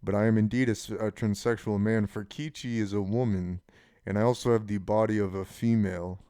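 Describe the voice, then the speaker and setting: Deep, smooth-voiced young man rambling casually. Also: dark tone, no echo, studio quality. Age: 20s. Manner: casual, frank, swearing. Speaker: channel host. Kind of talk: rambling personal monologue.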